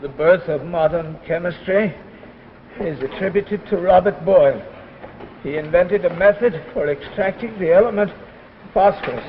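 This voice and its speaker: A man's voice: high-pitched, moderate pace, slight background noise, lightly acted. An actor playing a weary, submissive middle-aged professor and father, learned and hesitant, in 1950s radio drama style. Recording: muffled old radio recording with music behind.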